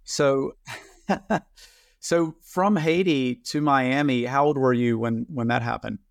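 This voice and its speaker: A man's voice: medium pitch, slow pace, gravelly, no room sound, studio quality. A man hosting a podcast in a casual, conversational interview style. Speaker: podcast host.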